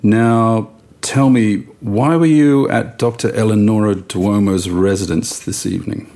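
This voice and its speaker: Gravelly, calm police detective. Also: male voice, fairly low pitch. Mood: courteous, reassuring. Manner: measured, questioning, soothing. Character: calm, courteous male police detective taking statements. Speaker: actor. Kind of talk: naturalistic audio drama acting.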